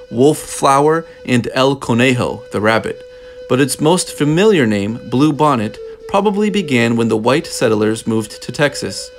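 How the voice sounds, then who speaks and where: A man reading a children's picture book aloud as a narrator, in measured phrases with deliberate pauses. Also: mid-range voice. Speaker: storybook narrator.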